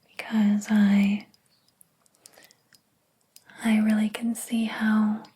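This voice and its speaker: Casting: woman as obsessive, wounded hairdresser for ASMR roleplay; higher-pitched, theatrical, unhurried, hushed breathy whisper. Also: exaggerated voice. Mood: hurt, reproachful. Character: jealous, possessive hairdresser, obsessed with favorite client.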